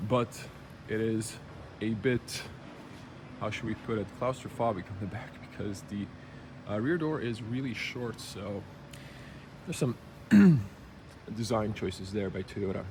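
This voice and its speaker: A man with a slightly rough early-morning voice, giving a casual, unscripted walkaround review in halting, item-by-item phrases. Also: on the low side, noisy background. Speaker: car reviewer. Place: car show exhibition space.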